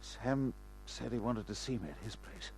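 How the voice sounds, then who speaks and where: A man's voice: lowish voice, lively intonation, a little mellow, soft background music. An actor playing a wounded, desperate man on the run, stammering weakly in an old radio crime drama. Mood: weary, halting. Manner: halting, stammering, pleading. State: weak, in pain.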